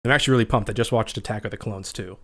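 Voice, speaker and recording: A man's voice: fairly low pitch, very fast. Scratchy-voiced man hosting a livestream. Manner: chatty, reads out viewer comments. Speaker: livestream host. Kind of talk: casual livestream chat.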